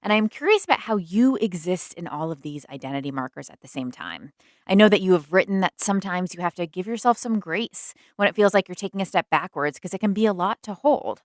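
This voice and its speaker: A woman hosting a podcast, asking guests gentle, searching questions and introducing clips. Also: lowish voice, expressive, fairly quick, dry recording, studio quality. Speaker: podcast host. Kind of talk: interviewing and narrating.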